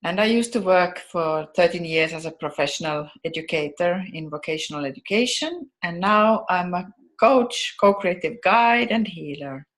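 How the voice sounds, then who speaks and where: A woman from Finland with a Finnish accent, a coach introducing an educational video in a measured, deliberate way. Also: on the low side, animated, fairly bright tone.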